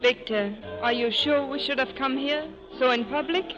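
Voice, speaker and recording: A woman's voice: high-pitched, moderate pace, lightly acted. Casting: actress for uneasy young female companion, 1940s radio drama, muffled old recording, music underneath. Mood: anxious, watchful. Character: anxious, beautiful young woman, fugitive's companion.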